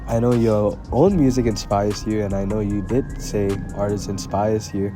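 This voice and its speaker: A young man interviewing a musician, asking short relaxed questions. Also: lowish voice, moderate pace, over music.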